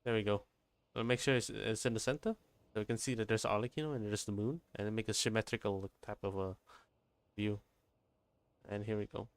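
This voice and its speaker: A man's voice: fairly low pitch, steady tone, brisk, pauses often, clear, resonant tone, no room sound. A man livestreaming a game, chatting casually and informally, often thinking aloud and repeating his phrases. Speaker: game streamer. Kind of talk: casual livestream gameplay chat.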